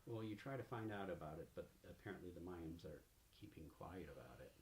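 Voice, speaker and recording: A man narrating a tabletop roleplaying game as game master, feeling his way through in a halting delivery. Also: sits low, expressive, a little mellow. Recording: roomy echo, faint-to-clear noise.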